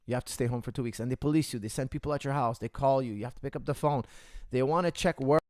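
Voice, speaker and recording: A man's voice: mid-range voice, fast, a little mellow, no echo. Man, podcast host, heated casual rant, repeats himself, mid-range voice, dry close sound. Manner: heated, repeats himself, calls viewers guys. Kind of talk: casual opinion rant to viewers. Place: Canada.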